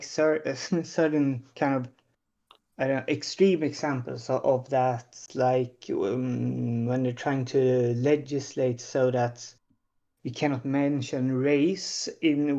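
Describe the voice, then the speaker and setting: A man with a Swedish accent speaks slowly and hesitantly, pausing often. His voice is mellow with a gravelly edge. The audio comes through a slightly dull online call. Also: mid-range voice. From Sweden. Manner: hesitant, many pauses and fillers. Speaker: panelist. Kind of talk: casual panel discussion.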